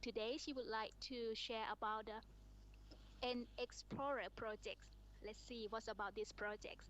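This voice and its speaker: A woman with a somewhat high voice moderates a conference session, introducing speakers one by one. Her speech is halting and full of fillers. A faint hum sits behind her voice. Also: steady tone, a bit bright, dull audio. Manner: halting, many fillers, reads titles.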